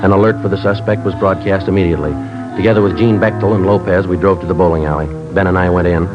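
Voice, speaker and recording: An actor playing a terse, businesslike detective sergeant, clipped and deadpan, in 1950s radio drama style. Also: male voice, low voice, fast, close to an everyday voice. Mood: matter-of-fact. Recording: muffled vintage radio broadcast, music behind.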